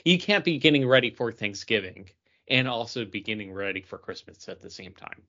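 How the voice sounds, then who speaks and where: A man's voice: on the low side, lively intonation, fairly quick, pushed and bright. An American man in casual conversation as a podcast co-host. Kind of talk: casual chat. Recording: dull lo-fi voice recording.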